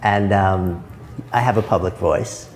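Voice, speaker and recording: A man's voice: sits low, a little slow. A man hosting an interview, speaking thoughtfully and openly about his own struggles. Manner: thoughtful, open, many fillers. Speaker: podcast host. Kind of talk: reflective interview talk. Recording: long reverb with faint noise.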